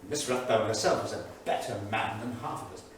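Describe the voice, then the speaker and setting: An actor playing an anguished, indignant 1930s English diarist, emphatic and declamatory, in a staged reading. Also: male voice, on the low side, animated, fairly quick, lightly acted. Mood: regretful. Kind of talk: dramatic staged reading of a journal. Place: small theatre studio. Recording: echoey theatre, distant mic, faint noise.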